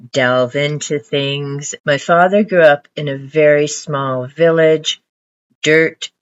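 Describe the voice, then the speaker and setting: An older woman whose first language is Hungarian, reflecting on her favourite books in a casual vlog to camera. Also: extremely low pitch. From Canada. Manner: reflective, unhurried storytelling. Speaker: book vlogger. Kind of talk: casual book talk to camera. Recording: muffled lo-fi audio.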